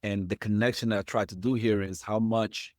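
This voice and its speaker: A middle-aged man from Puerto Rico, a podcast host, talking in a halting way and repeating words as he thinks. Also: fairly low pitch, steady tone, no echo, studio quality. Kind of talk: conversational interview.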